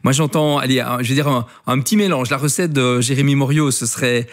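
Male radio host, medium pitch, relaxed conversational interview style. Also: brisk.

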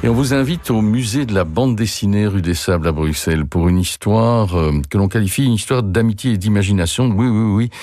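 A man's voice: on the low side, a little fast, hardly any pauses, dark tone, soft background music. A man hosting a radio interview, chatty and full of hesitations. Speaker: radio host.

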